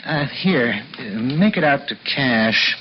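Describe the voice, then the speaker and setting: Smooth, doting husband who is really a con man; 1950s radio drama, muffled and hissy. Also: medium pitch, animated, takes it slowly. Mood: coaxing.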